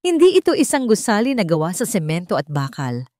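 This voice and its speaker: A woman's voice: medium pitch, huge pitch swings, fairly quick, a little mellow, no room sound, clean studio sound. A woman voicing a firm, hopeful man, a loving husband and father in a narrated romance story, speaking solemnly and from the heart.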